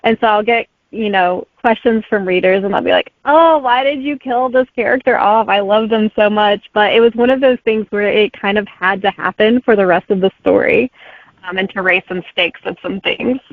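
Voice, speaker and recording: A woman author answers interview questions in a relaxed way with plenty of "um"s. Her voice comes through a thin, phone-like line with a dry sound. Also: mid-range voice, moderate pace, no echo. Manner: easygoing, with filler "um"s. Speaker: author guest. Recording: tinny phone-like remote line.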